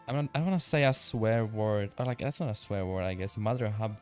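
A man's voice: on the low side, brisk, a little mellow, faint music behind. Young man with Czech accent streaming. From Czech Republic. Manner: chatty, reads chat aloud, swears casually. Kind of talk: casual live-stream chat while gaming.

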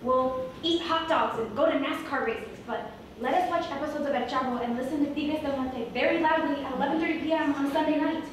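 A woman's voice: sits high, fairly quick, hardly any pauses, natural voice. A young woman on stage playing a spirited, sassy young Mexican American heroine in a comic musical, projecting emphatically. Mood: earnest, firm. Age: young adult. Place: small indoor theatre stage, far camera. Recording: echoey stage from far off, audience noise.

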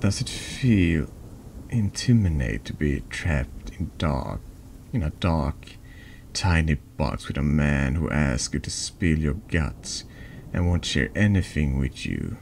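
A man's voice: very deep voice, expressive, takes it slowly, vocal fry, darkish tone, exaggerated voice. A man voicing a snide, needling man in a confessional for a horror text game. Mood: mocking, menacing. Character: taunting, insinuating man in a confessional. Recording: noticeable background noise.

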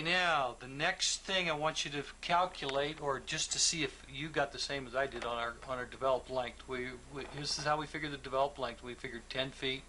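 A male instructor working calmly through a plumbing calculation, explaining each step patiently with small fillers and restarts. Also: mid-range voice, slight background noise. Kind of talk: instructional walkthrough.

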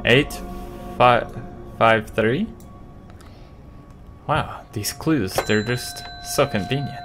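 A man in his twenties giving jokey, sweary, excitable gaming commentary into a close desk microphone in a room at home. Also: medium pitch, very slow. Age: 20s. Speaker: gaming video host. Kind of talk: casual let's play commentary. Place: small home room, indoors. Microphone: close desk microphone with pop filter. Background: game music.

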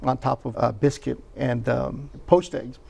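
Gravelly man describing brunch. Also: medium pitch, steady tone, a little slow, warm tone. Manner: detailed, hesitant with fillers. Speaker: guest reviewer.

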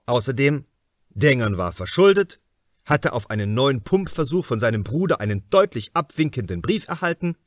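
Very lively male audiobook narrator. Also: medium pitch, no echo, clean studio sound. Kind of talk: scripted narration of a crime novel.

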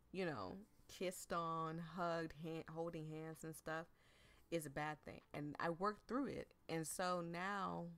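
A woman giving casual, chatty let's play commentary in a candid, emotional and informal way. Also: low voice. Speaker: host of a visual novel let's play.

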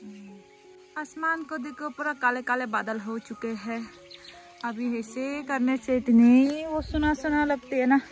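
A woman's voice: high-pitched, measured pace. A young woman chatting casually as she picks leaves in her village garden, pointing things out to the camera. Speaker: vlogger. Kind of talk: casual vlog chat. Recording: background music over outdoor sound.

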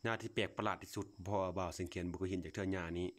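Casting: male Lao vlogger, low-pitched voice, folksy and full of wonder, off-the-cuff outdoor phone commentary. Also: steady tone, very fast, a bit bright. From Laos. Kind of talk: casual unscripted commentary to viewers while filming. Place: outdoors, at a grassy patch.

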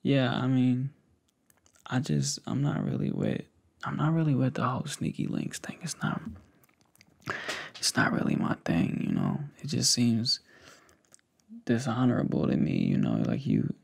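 A young American man with a gravelly voice doing an ASMR roleplay, talking unhurriedly and intimately to one listener, close on the mic. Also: medium pitch, big pitch swings. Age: 20s to 30s. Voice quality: gravelly, fry-laden. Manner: unhurried, hesitant, pausing talk addressed intimately to one listener. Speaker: ASMR creator. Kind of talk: ASMR roleplay, soft conversational monologue. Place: small indoor setup with cloth backdrop. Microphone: close desktop condenser mic with pop filter.

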